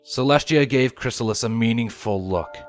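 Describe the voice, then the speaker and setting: A man's voice: mid-range voice, moderate pace, soft background music. A man reading a scripted story aloud as its narrator. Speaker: fan fiction reader.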